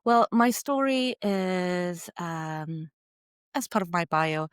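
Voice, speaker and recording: A woman in her thirties speaks with a slightly low voice, unhurried and reflective. She answers as an interview guest and is recorded close and dry, with no room sound. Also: lively intonation, smooth, dry recording. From Argentina. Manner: measured and thoughtful, with some fillers and light laughs. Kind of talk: casual interview storytelling.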